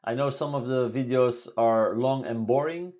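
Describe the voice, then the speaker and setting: Deep-voiced guy in his 30s chatting live from home, stops and restarts a lot, kinda muffled audio. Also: darkish tone. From Belgium. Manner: halting, many fillers and restarts, non-native English phrasing. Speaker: vlogger answering viewer questions on a live stream. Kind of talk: casual live Q&A talk. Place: small room indoors at home.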